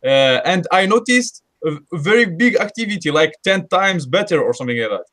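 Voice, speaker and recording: A man's voice: high-pitched, animated, a little fast, fairly bright tone. A man with a Russian accent, speaking English as a second language in a casual group chat, hesitant with many fillers and restarts. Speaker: host.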